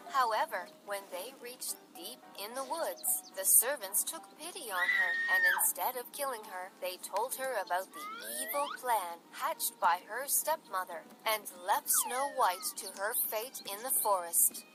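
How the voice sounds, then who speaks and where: A woman's voice: mid-range voice, animated, measured pace, hardly any pauses. A woman storyteller reading a scripted bedtime story for children. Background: music bed.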